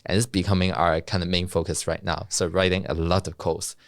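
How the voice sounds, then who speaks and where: A man's voice: sits low, level tone, fairly quick, vocal fry, dry recording. A young man from Hong Kong, a native Cantonese speaker with a Hong Kong accent, describes his work as a guest in an interview, casually and with frequent laughs. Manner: laughs often, casual and self-deprecating. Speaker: guest, software developer and co-founder.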